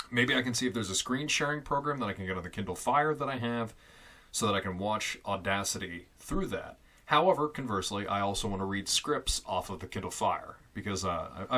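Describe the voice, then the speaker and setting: A young man talking casually and loudly into a fixed studio mic in a small foam-lined closet, rambling with fillers and amused asides. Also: fairly low pitch. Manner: loud, chatty and self-amused, with many fillers and restarts. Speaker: vlogger testing a home studio. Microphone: fixed studio condenser mic on a stand.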